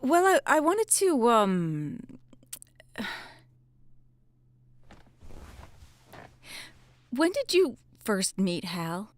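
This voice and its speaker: A woman playing an earnest, troubled small-town cop in an audio drama, speaking in a halting, weary, hesitant way. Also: a little high, very animated. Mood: uneasy. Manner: halting, hesitant, sighing, swearing. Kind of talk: naturalistic audio drama acting.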